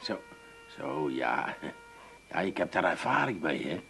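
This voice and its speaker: Wildly swooping, touchy brother-in-law. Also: male voice, rumbling low, very animated, measured pace, creaky, fairly bright tone, exaggerated voice. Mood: tenderly moved. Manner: stammering, overwrought. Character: touchy, sentimental, simple-hearted adult brother-in-law. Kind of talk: 1980s comedy radio play acting.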